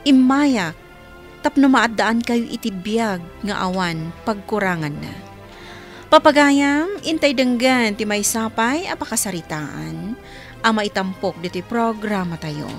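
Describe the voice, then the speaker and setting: A woman's voice: medium pitch, expressive, unhurried, faint music behind. A woman with an Ilocano accent reading a scripted introduction to a radio drama as its presenter. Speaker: programme presenter.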